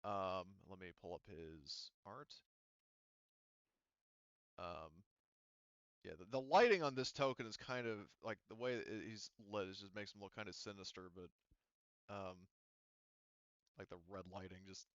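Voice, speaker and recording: A man's voice: sits low, a little slow, pauses often, fairly bright tone, clear, resonant tone, dry recording, highs cut off. A man casually narrating a tabletop roleplaying game as game master, rambling and thinking aloud as he improvises.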